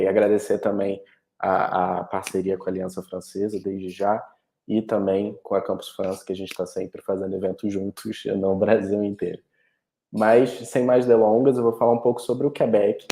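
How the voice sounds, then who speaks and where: A man with a Carioca accent walks listeners through an online presentation in a fairly low, mellow voice. He often restarts his sentences and fills pauses as he explains. The video-call audio has a roomy echo. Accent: Carioca Brazilian Portuguese. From Rio de Janeiro, Brazil. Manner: informative, with frequent restarts and fillers. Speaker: international education representative.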